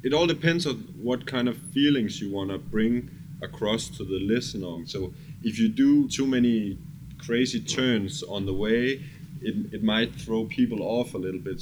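Deep-toned man explaining over background noise. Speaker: music producer being interviewed. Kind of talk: casual interview chat. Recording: clearly noisy background ambience.

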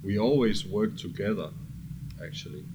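A man's voice: lowish voice, expressive, moderate pace. A man explaining things casually as a music producer being interviewed, in slightly non-native English phrasing. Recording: clearly noisy background ambience.

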